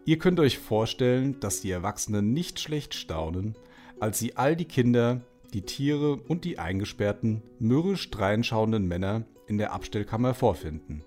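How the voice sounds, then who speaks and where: A man's voice: on the low side, expressive, normal speed. A man narrating a children's audio drama in a scripted storytelling style. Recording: guitar music under the voice. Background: soft plucked guitar music.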